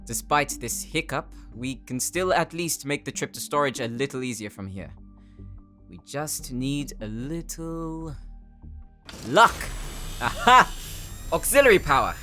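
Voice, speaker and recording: An actor playing an irritable, theatrical starship engineer in a sci-fi audio drama. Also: male voice, medium pitch, big pitch swings, measured pace, pushed and bright. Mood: brisk. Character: irritable engineer brother, male starship tech expert. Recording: music bed underneath.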